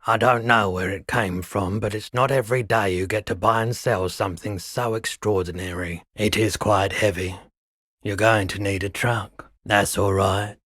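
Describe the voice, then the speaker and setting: An actor playing a laid-back, eccentric pawnshop man in a video game, quietly earnest, with an unhurried, musing, understated delivery. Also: lowish voice, no echo, clean studio sound. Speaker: video game shopkeeper character. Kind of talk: video game character dialogue.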